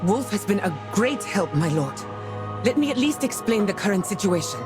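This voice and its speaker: A woman's voice: mid-range voice, sing-song, normal speed, over music, lightly acted. Woman as a composed, formal scout commander. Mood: composed, briefing. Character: composed, loyal female scout commander, dutiful and diplomatic. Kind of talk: dramatic video game acting.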